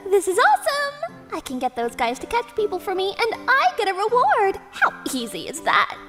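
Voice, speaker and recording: Casting: scheming cartoon schoolteacher, extremely high voice, swooping and gushing, music underneath. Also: female voice, huge pitch swings, moderate pace, fairly bright tone, heavily acted. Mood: smug, scheming. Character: scheming, self-righteous woman teacher, greedy and excitable. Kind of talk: manga dub voice acting.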